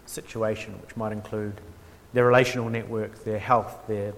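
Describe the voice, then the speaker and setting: A man's voice: lowish voice, flat delivery, moderate pace, gravelly. Man from New lecturing in a reverberant hall. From New Zealand. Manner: hesitant, many ums and restarts, dry self-mocking humour. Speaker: workshop speaker on theology. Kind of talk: informal lecture.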